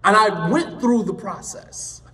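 A high-pitched man gives a fervent class lecture, hammering points home by saying them twice. His voice carries through a roomy hall with a long ring of reverb, picked up from some distance off the mic. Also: measured pace, pushed and bright. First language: English. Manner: emphatic, impassioned, repeats points for stress. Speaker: Islamic studies teacher.

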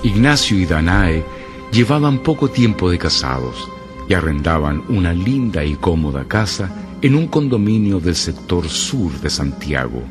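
A Chilean man playing the ominous, measured, dramatic storyteller of a radio mystery drama. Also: low-pitched, big pitch swings. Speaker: narrator. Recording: music behind the voice.

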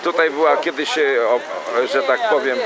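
A man's voice: fairly high pitch, normal speed, over a noisy crowd, rough recording. Man narrating a boat tour. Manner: chatty, rambling with fillers and self-corrections. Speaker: amateur tour guide on a boat. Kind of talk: casual running commentary. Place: small boat on a city river, outdoors. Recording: loud wind and boat engine noise.

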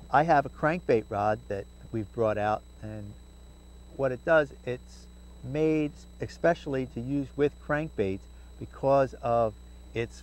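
A man's voice: mid-range voice, poor audio. A middle-aged man hosting a fishing tips segment, talking casually to camera from a boat outdoors with many "uh" fillers and restarts. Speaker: fishing show segment host. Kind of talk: casual instructional talk to camera. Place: outdoors, sitting in a boat on a river.

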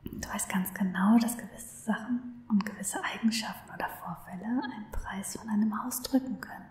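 A woman playing an obsessive, lovestruck stalker in an ASMR roleplay, cooing tenderly to her captive in an unhurried, breathy whisper, close to the microphone. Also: medium pitch, darkish tone, reverberant, lightly acted. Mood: coolly defensive.

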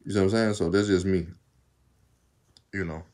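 Young Southern vlogger, low-pitched, talkative and laid-back, speaking into a phone at arm's length. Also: male voice, fairly even intonation, normal speed, darkish tone. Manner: rambling, talkative, laughs often. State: arms tired while undoing braids. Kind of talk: casual chat to camera. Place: small room indoors. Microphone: phone camera at arm's length.

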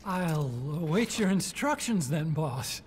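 An actor playing an excitable, nervy scientist who lectures theatrically in a video game. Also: male voice, a little high, slow, background noise. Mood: deferential. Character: excitable nervy male scientist pitching his weapon invention. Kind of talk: video game cutscene acting.

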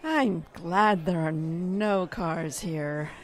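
A woman's voice: fairly low pitch, drawn-out words. An actress playing a dry, matter-of-fact woman in an audio drama. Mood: wry.

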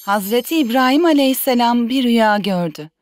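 A woman voicing a young mother who gently tells her children a religious story in a cartoon dub. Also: a little high, lively intonation, brisk, faint music behind, close to an everyday voice. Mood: gentle, reverent.

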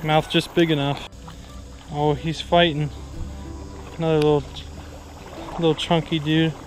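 Young man, fairly high voice, unhurried, jokey fishing vlogger, outdoor camera audio, music behind. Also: little pitch movement. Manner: laid-back, jokey exaggeration. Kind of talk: casual chat while fishing. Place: outdoors on a wooded river. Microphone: action camera worn or held by him.

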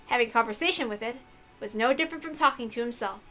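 A woman's voice: fairly high pitch, fast talker, fairly bright tone, soft background music. A woman reading a visual novel aloud as scripted, dramatic narration.

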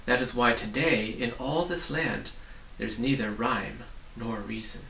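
A man in his forties narrates a storybook with measured expression. His voice is bright with a creaky edge, and he sounds a bit away from the mic in a roomy study. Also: mid-range voice, fairly even intonation. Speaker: reader. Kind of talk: storybook narration, read aloud. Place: small book-lined home study, indoors. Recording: roomy, distant mic with faint noise.

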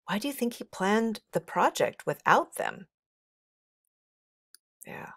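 A woman's voice: medium pitch, very animated, slow, fairly bright tone, slightly rough, dry recording, clean studio sound. A middle-aged woman reading a children's story aloud expressively, as a teacher would for young listeners.

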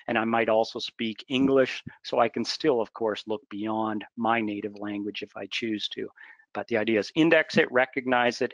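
A man's voice: medium pitch, dry recording, lo-fi sound. A man answering questions in a product webinar, explaining in a conversational way with fillers. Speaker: product demo presenter.

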